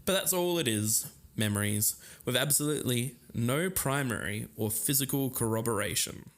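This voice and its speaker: A man's voice: medium pitch, lively intonation, normal speed, fairly bright tone. A man co-hosting a podcast, moving between semi-scripted narration and conversational banter. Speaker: podcast co-host.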